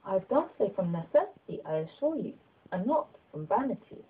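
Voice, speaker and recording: A woman voicing a kindly, humble old bishop in an audiobook, speaking in an earnest, measured way with gentle persuasion. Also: mid-range voice, sing-song, slightly rough, phone-quality sound. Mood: gently self-justifying. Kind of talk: audiobook character dialogue.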